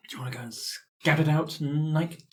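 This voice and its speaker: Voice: mid-range voice, fairly even intonation, normal speed, darkish tone. A tabletop game player improvising casual banter in a jokey, mock-earnest way. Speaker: player in a tabletop roleplaying show.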